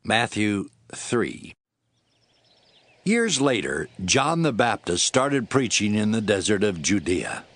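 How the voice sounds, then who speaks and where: A man's voice: fairly low pitch, big pitch swings, slow, a bit bright, no room sound. A man giving scripted dramatic narration of the Bible.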